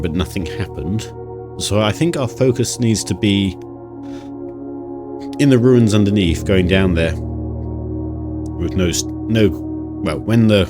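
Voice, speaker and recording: Brief: gaming host, man, very low voice, bunged up with a cold, rambling commentary over new-age music. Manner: rambling, thinking aloud with restarts. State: stuffy nose from a cold. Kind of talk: casual let's-play commentary. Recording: music playing under the voice.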